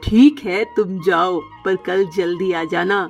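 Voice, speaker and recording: A woman voicing a lovestruck bachelor male parrot, a gentle employer, earnest and coaxing in a children's cartoon story. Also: fairly low pitch, steady tone, slow, creaky, muffled recording, faint music behind, voice pushed down. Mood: mildly impatient.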